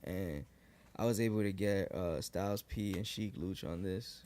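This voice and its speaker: A young man in his twenties from New York, a guest rapper chatting casually in a podcast interview, relaxed and loose with lots of fillers. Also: low-pitched, measured pace. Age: 20s. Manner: relaxed, lots of likes and restarts. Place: living room podcast set, indoors. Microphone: boom studio mic.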